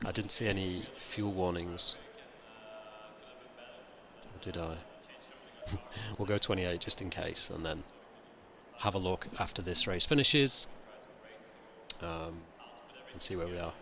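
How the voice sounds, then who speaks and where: A man streaming a sim race, with a croaky, hungover voice, rambling and thinking aloud in casual chat. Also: deep voice, slow pace, pauses often, sharp tone. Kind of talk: casual live-stream commentary.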